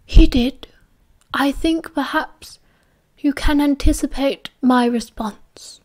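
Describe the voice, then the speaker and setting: A woman reading as a soft-spoken old wizard. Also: high voice. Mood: calm, measured. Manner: slow, soft, half-whispered delivery. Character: old male headmaster wizard, calm and measured.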